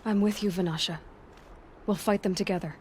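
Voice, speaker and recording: A woman's voice: medium pitch, steady tone, a little fast, darkish tone, slight background noise, natural voice. A woman acting a resolute young huntress heroine in a video game, dry and direct. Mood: wry, sincere.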